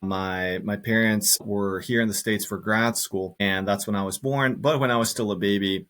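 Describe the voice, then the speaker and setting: A man's voice: lowish voice, fairly even intonation, moderate pace, fairly bright tone. A middle-aged man from Costa Rica answering reflectively as an interview guest, earnest and often repeating words as he thinks aloud. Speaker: podcast guest, engineering professor.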